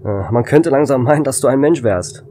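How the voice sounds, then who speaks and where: A man's voice: medium pitch, expressive, a little fast, mellow, slight background noise, close to an everyday voice. A man playing a tense space station operator in an amateur German sci-fi audio drama. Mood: playful frustration.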